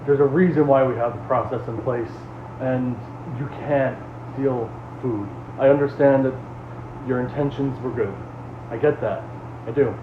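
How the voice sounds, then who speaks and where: A man acting a weary, patient community leader in a horror series, earnest and halting as he gently reprimands. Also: medium pitch, fairly even intonation, close to an everyday voice. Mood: earnest, firm. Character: weary, patient male leader of an underground refuge. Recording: tinny, echoey, noisy lo-fi audio.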